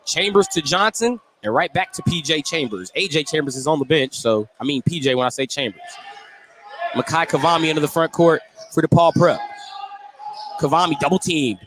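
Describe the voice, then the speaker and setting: A man's voice: a little high, normal speed, a bit bright. A man doing live radio play-by-play commentary of a basketball game. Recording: live gym broadcast with background noise. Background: gym ambience, bouncing basketball.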